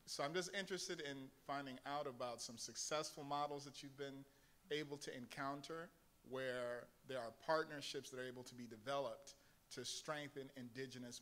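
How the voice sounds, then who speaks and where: A man from Ghana asking a panel a question from the audience, hesitant and polite, with many pauses and restarts. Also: mid-range voice, fairly bright tone. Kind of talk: unscripted question from the floor.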